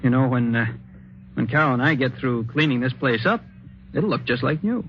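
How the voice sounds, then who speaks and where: An actor playing a practical, concerned young husband, plainspoken and a little hesitant, in a 1950s radio drama. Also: male voice, medium pitch, animated, moderate pace, close to an everyday voice. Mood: conversational. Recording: muffled, noisy old radio recording.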